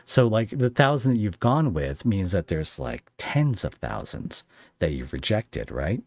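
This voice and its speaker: Low-voiced man hosting a casual interview. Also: very animated, vocal fry, a little mellow, no echo. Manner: halting, searching phrasing with trailing questions. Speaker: podcast host interviewing a guest.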